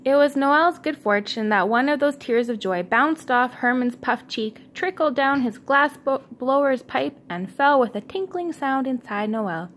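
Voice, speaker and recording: A woman with a fairly high voice reads a children's storybook aloud to a little one. She is encouraging, and the home recording has faint background noise. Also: expressive, unhurried, hardly any pauses, fairly bright tone. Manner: encouraging, asks the child questions. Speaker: mother reading to her young son.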